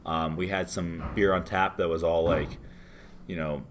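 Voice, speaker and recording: A man's voice: sits low, measured pace, a little hiss or hum. A hungover American man in his thirties, a bartender telling loose, rambling stories as an interview guest. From United States. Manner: rambling, loose and animated storytelling. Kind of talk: casual interview chat.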